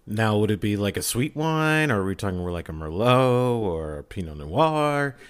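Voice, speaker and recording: Podcast guy, super animated pitch, teasing, keeps asking stuff, casual joking chat. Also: normal speed, clear, resonant tone. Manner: teasing, questioning. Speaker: podcast panellist.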